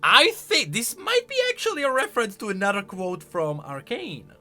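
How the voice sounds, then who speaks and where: Bright, animated male game commentator. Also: sits high, moderate pace. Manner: expressive, emphatic, thinking aloud. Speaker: video game lore commentator. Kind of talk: casual reaction commentary. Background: music.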